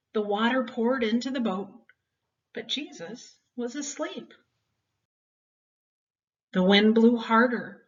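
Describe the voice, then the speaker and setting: A woman reading a children's Bible story expressively, with dramatic, emphatic phrasing meant for young listeners. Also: a little high. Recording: dull, distant home-style recording.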